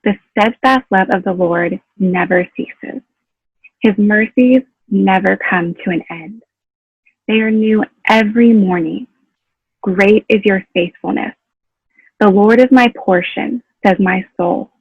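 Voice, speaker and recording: A woman gives a solemn scripture reading in a gravelly, husky voice. The recording is dry and thin, like a phone line. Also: medium pitch, takes it slowly, no room sound. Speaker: scripture reader. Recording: dry, slightly phone-like narrow band.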